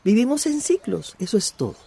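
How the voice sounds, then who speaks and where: A woman's voice: fairly high pitch, big pitch swings, a little fast, creaky, dark tone, husky, full character voice. An actress playing a mystical, self-assured old village woman in a radio drama. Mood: serene, assuring.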